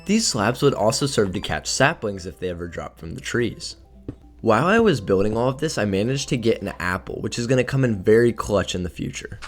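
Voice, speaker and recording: A young man with a slightly raspy voice from a cold, casually narrating his gameplay and sometimes tripping over his words. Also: fairly low pitch, big pitch swings, fairly quick, soft background music. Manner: casual, offhand, trips over words now and then. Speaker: gaming video creator.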